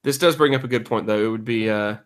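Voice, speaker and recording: A man's voice: fairly low pitch, quick pace. Joking man co-hosting a podcast. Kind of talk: joking casual podcast banter.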